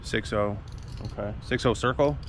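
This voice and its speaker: A man's voice: medium pitch, normal speed. A middle-aged man chatting casually and colloquially to camera in a laid-back vlog style, outdoors on the water. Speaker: vlogger, kayak angler. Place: outdoors on a kayak on open water. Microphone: action camera at about arm's length. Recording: loud wind and water noise.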